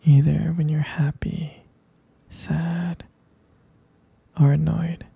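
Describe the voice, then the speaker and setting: A man voicing an adoring, tender romantic partner in an intimate ASMR roleplay, murmuring gently and haltingly in a breathy, husky whisper. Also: fairly high pitch, little pitch movement, dry recording, phone-quality sound, full character voice. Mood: adoring, dreamy. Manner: slow, halting, gentle murmuring with lingering pauses.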